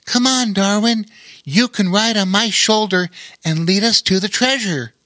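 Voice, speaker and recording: A man voicing a kind, cheerful young boy in a storybook, speaking warmly in a raised voice. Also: high-pitched, unhurried, no echo, voice pushed up. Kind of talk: storybook reading.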